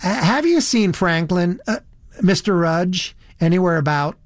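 A man voicing a blunt, earnest young woman with a high, shrill voice in an audiobook story. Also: lively intonation, unhurried, dry recording, studio quality. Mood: anxious, probing. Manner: blunt, indignant. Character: earnest, disapproving, very young American tourist woman.

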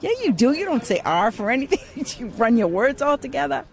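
A woman with a broad Boston accent, chatting with a reporter. Her pitch swings widely and she laughs often. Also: brisk. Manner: laughing, animated. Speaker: interviewee.

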